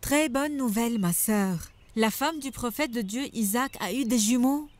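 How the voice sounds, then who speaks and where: A woman's voice: on the high side, normal speed, lightly acted. A woman voicing a devout, knowing maidservant in a dubbed cartoon, explaining things earnestly. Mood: glad. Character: devout, knowing maidservant who shares holy news. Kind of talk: cartoon dubbing.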